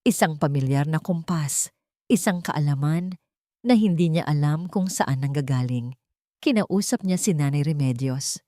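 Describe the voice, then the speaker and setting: Low-pitched woman narrating a dramatic story. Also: warm tone, dry recording, studio quality. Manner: measured, emotive storytelling in short phrases.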